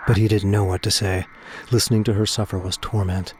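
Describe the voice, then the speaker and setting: A man's voice: on the low side, flat delivery, brisk, a little hiss or hum. A man delivering scripted, dramatic story narration for a science fiction audio drama.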